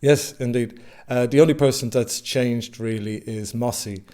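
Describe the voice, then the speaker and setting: A man's voice: mid-range voice, fairly even intonation, dark tone. A man from Dublin, an actor speaking in an interview with many hesitations. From Dublin, Ireland. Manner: halting, many filler sounds. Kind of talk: casual interview.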